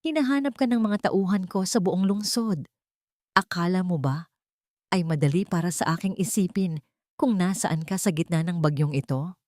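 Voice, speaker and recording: A woman's voice: fairly low pitch, normal speed, darkish tone, no echo, clean studio sound, natural voice. A woman voicing a commanding, possessive but worried rich man in an audio drama, with a Filipino accent. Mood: angry, worried. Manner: stern, urgent.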